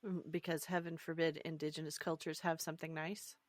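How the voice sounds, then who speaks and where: A woman's voice: sits low, flat delivery, a little fast, dry recording. A woman co-hosting a podcast with casual, conversational commentary. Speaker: podcast co-host.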